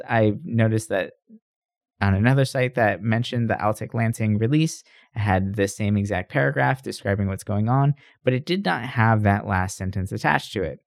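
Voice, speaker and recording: Low-voiced man hosting a casual podcast. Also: a little mellow, no room sound, clean studio sound. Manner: conversational, rhetorical tag questions. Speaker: podcast host. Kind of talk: casual gaming news podcast commentary.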